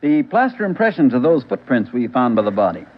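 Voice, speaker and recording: A man playing a dry, wry police lab technician in a 1940s radio drama, brisk and matter-of-fact. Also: medium pitch, big pitch swings, natural voice. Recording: muffled, hissy 1940s radio recording.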